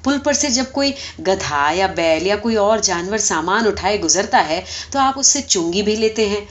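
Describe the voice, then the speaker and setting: An earnest storybook donkey, acted out by a woman, humble and pleading yet stubbornly argumentative. The medium-pitched voice moves animatedly, and the recording is a little muffled. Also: expressive, natural voice. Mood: insistent, indignant. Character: earnest talking male donkey, sorrowful, stubbornly persistent.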